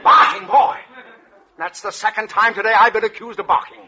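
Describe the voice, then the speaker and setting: An actor playing an indignant, huffy character in 1940s radio comedy style. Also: male voice, high voice, steady tone, brisk, pushed and bright. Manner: huffy, affronted. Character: indignant character accused of barking like a dog. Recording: muffled 1948 radio recording.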